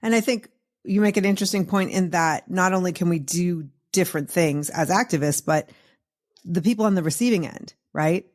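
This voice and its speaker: A woman speaks as the host of an interview in a slightly low register. Her delivery is conversational and reflective. She is agreeable, building on the points being made. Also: normal speed.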